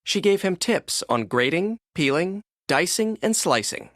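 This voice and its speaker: Animated male storybook narrator. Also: high voice, a little slow, dry recording, studio quality. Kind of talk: scripted children's read-along narration.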